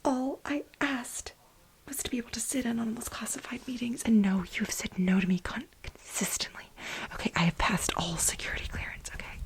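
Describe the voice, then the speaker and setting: A woman playing an aggrieved, manic, overworked research assistant in a soft-spoken, whispered ASMR role play, with halting, dramatic complaints. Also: a little high, fairly even intonation, natural voice, poor audio. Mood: fed up, indignant. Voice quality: breathy whisper.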